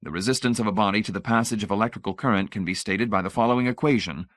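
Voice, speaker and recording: A man's voice: fairly low pitch, very fast, no room sound, studio quality, lightly acted. A man voicing a blunt, skeptical male electronics expert who grows exasperated. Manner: blunt, irritated and challenging. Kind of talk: audiobook character voice.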